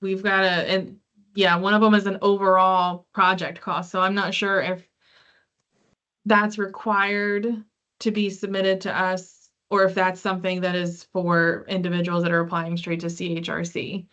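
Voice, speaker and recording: A woman's voice: on the low side, flat delivery, normal speed, fairly bright tone. Muffled woman hosting a conference call. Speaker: meeting host. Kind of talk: answering questions on a conference call. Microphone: online conference call.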